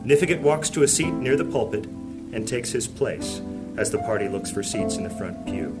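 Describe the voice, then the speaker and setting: A man's voice: on the low side, lively intonation, normal speed, close to an everyday voice. A man narrating a scripted fantasy audio drama as a solemn storyteller. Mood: solemn, descriptive. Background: plucked guitar music.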